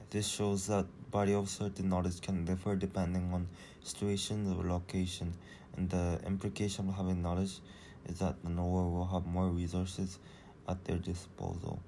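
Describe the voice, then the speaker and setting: Low, flat-voiced teenage boy presenting. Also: slight background noise. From Japan. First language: Japanese. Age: teens. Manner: hesitant, many fillers and restarts. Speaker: student presenter. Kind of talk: school presentation.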